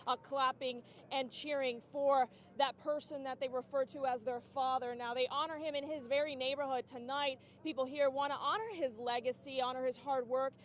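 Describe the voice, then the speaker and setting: A woman's voice: high voice, steady tone, normal speed, hardly any pauses. A woman reporting live for TV news from outdoors, describing the scene on location. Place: outdoors at a street vigil.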